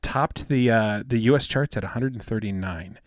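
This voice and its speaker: A man in his fifties with a soothing, smooth voice, chatting casually as a podcast co-host and rambling with many fillers and restarts. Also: on the low side, normal speed, dry recording, studio quality. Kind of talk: casual chat.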